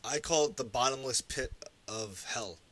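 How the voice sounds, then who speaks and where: A man's voice: mid-range voice, normal speed, fairly bright tone, dry recording. A man in his thirties who lives in New York City, co-hosting a casual parenting chat over a video call from home, teasing his partner with dry, wry remarks. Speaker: podcast co-host. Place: home room, indoors.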